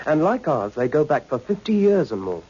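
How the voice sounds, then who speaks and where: A man's voice: on the high side, very animated, normal speed, a little mellow, slight background noise. A man playing a keen, blunt newsman in an old radio science fiction drama, with punchy, informal, urgent delivery. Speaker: supporting actor in radio serial. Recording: muffled, dull old radio recording.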